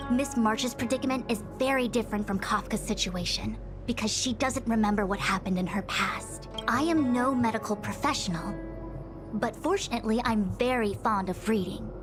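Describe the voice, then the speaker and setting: A woman playing a composed, scholarly young master diviner in a video game, speaking formally in measured, articulate phrases. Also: on the high side, natural voice. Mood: composed, instructive. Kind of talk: scripted video game dialogue. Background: background music.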